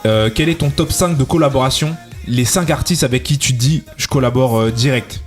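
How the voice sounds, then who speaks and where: A man's voice: medium pitch, a little fast, faint music behind. A man hosting a show, asking long rambling questions with many fillers. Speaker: radio show host. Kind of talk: casual interview.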